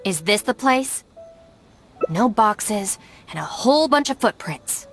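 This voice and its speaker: An actress playing a stern, capable young female gang deputy in a video game, speaking in a crisp, matter-of-fact way. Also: mid-range voice, expressive, slow pace, soft background music. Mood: focused, matter-of-fact.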